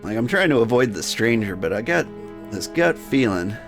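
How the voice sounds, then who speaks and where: A man's voice: mid-range voice, big pitch swings, moderate pace, over music. Man playing and narrating a story game. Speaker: gamer reading a narrative game aloud. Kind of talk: game playthrough with dramatic read-aloud and casual asides.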